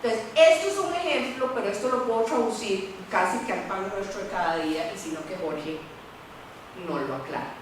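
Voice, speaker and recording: A middle-aged woman lawyer, speaking on a university panel in a candid, animated way and often adding "¿verdad?". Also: medium pitch, moderate pace, a little hiss or hum. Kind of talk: panel talk. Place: lecture room. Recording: echoey room, voice from far off.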